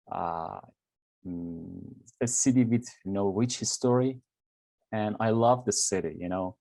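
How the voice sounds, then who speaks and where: A young man from Iran with an Iranian accent, Persian his first language, answering interview questions in a halting way, often pausing with fillers and restarting sentences. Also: on the low side, dark tone, no echo. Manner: halting, searching for words with many fillers and restarts. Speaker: graduate film student.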